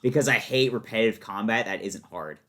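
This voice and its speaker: Guy w a lowish voice, casual podcast guest, opinionated gamer talk, pretty into it. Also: brisk, a bit bright. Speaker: podcast guest, fighting game enthusiast. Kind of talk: casual chat about video games.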